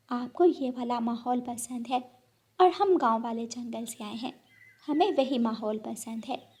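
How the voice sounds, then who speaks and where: A woman's voice: high-pitched, darkish tone, husky. A woman voicing a kindly, sensible mother sparrow in a children's cartoon, sweet and earnest. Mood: polite. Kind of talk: Hindi children's cartoon story.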